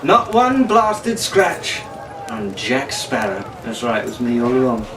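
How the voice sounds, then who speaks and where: A man's voice: mid-range voice, lively intonation, a little slow, voice pushed down, lightly acted. A man voicing a cheeky young male pirate in a storybook, mock-polite, sly and swaggering. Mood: boastful. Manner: extremely polite, sly, boastful. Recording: roomy, distant mic, faint noise.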